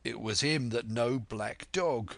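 A man's voice: medium pitch, slow pace, vocal fry, no echo. A man voicing a violent, surly old seaman growling in a for an audiobook. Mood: accusing. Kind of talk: dramatic audiobook character voice.

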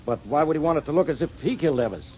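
A man playing a gruff police inspector who talks in a blustery, impatient way. His mid-range voice moves up and down a lot. The old radio recording is dull and slightly hissy. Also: a little high, expressive, racing, a little mellow, natural voice. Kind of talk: 1940s radio acting.

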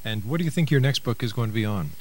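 A man's voice: mid-range voice, animated, very fast. A man hosting a radio talk show, interviewing and announcing to listeners. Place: radio studio.

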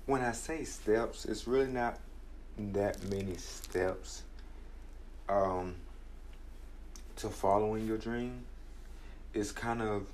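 Young man, early twenties, earnest motivational talker, slow deliberate delivery, lowish voice. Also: a little hiss or hum, low-quality recording. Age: 20s. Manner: earnest, measured, reflective. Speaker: podcast host. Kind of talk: motivational personal talk.

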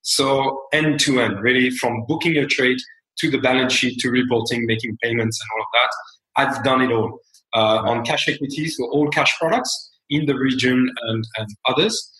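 A man in his forties from France with a French accent, talking as a founder guest in an interview in a measured, reflective way. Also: mid-range voice, fairly bright tone, roomy sound, rough recording. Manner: measured, reflective, many fillers and restarts. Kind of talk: interview conversation.

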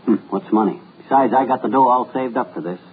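Blustery bragging husband, old radio comedy, rapid-fire, tinny. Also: male voice, mid-range voice, breathy edge. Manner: brash, blustering wisecracks. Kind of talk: 1940s radio comedy acting.

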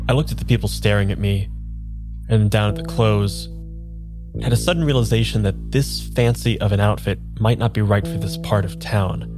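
A man's voice: fairly low pitch, close to an everyday voice. A man playing a bewildered amnesiac, music underneath. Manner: halting, stammering in dialogue, reflective when narrating. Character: bewildered amnesiac man, eager, awkward, unsure of himself. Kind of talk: improvised audio drama, first-person narration and dialogue. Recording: music behind the voice.

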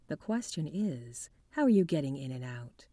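A woman's voice: low voice, very animated, moderate pace, mellow. A woman playing a no-nonsense, matter-of-fact occult detective in a 1940s noir radio drama. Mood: stern, interrogating. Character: brisk, no-nonsense female detective with occult know-how.

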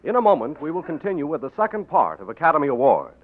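A man's voice: on the high side, sing-song, fast. A man announcing a 1940s radio show in a polished, emphatic way. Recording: tinny 1940s radio broadcast.

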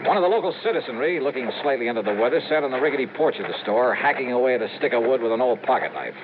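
Man acting a fast-talking, hard-boiled radio investigator. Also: medium pitch, animated, lightly acted. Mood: dry narrating. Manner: clipped, urgent, hard-boiled. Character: brisk, insistent freelance insurance investigator, a man. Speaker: lead actor and narrator. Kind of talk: 1950s radio detective acting. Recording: muffled, noisy 1950s radio recording.

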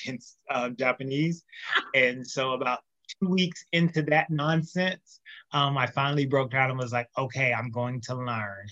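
A man speaking as a podcast guest in a casual interview, telling animated stories. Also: mid-range voice, muffled recording. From United States. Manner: animated storyteller, acts out quotes.

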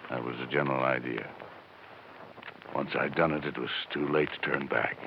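Muffled old radio, very deep weary man. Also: fairly even intonation, normal speed, vocal fry. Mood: weary, regretful. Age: middle-aged. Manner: hesitant, subdued confession. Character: weary, lonely, remorseful middle-aged widower and insurance man. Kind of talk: 1950s radio drama acting. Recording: muffled, hissy 1950s radio recording.

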